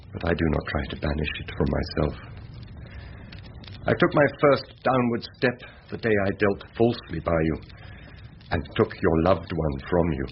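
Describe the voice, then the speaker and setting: An actor playing a broken, ashamed man confessing his wrongs, humble and sorrowful, in a classic radio drama. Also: low-pitched. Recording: dull lo-fi radio recording with hiss.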